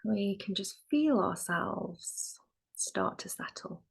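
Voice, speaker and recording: A woman teaching yoga nidra, guiding a relaxation meditation in a gentle, soothing, soft and sometimes breathy voice with long pauses. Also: mid-range voice, animated, in a whisper.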